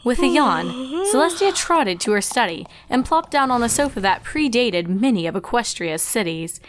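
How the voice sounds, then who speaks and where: A woman's voice: on the high side, lively intonation, moderate pace, slight background noise. A woman reading a story aloud as narrator, in scripted storytelling style. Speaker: fan fiction reader and narrator.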